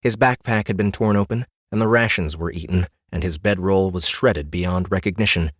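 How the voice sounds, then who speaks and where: Low-voiced male audiobook narrator. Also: normal speed, dry recording, studio quality. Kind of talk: scripted fantasy narration. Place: dry studio.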